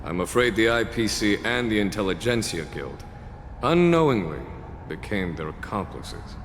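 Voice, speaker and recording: A man voicing a thoughtful, authoritative male general in a video game, measured and formal. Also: on the low side, expressive, in a large hall, a little hiss or hum, close to an everyday voice. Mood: pensive. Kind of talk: scripted video game dialogue.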